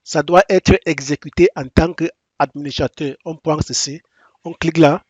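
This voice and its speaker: A man whose first language is English, walking through a software installation step by step in halting French with frequent restarts and repeated instructions. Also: on the high side, steady tone, husky, no echo, muffled recording. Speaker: software tutorial presenter. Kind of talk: screen-recorded software tutorial.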